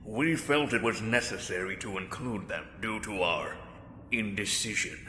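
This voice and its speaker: A man's voice: lowish voice, moderate pace, sharp tone, slight background noise. A man playing a businesslike council leader, formal and firm, in a sci-fi drama. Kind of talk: sci-fi audio drama acting. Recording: echoey hall, clear background ambience.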